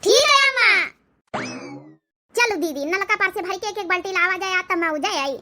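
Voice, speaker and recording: A woman's voice: very high voice, animated, moderate pace, background noise. A woman voicing a mischievous, tattling daughter of a village house, in Awadhi-accented comic cartoon dialogue. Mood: gleeful.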